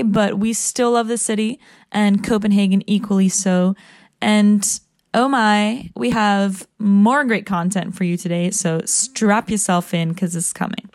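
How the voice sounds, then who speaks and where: Woman host, enthusiastic scripted intro, medium pitch. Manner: upbeat, enthusiastic. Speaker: podcast stage host.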